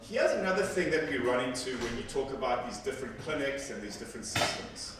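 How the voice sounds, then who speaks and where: A man's voice: mid-range voice, lively intonation, fairly quick, noisy background. Man talking in an echoey conference hall. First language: Afrikaans. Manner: conversational, self-correcting with repeated words. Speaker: conference presenter. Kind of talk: technical conference talk. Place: large hall with seated audience. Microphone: handheld mic, filmed from far back. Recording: echoey hall from far off with audience.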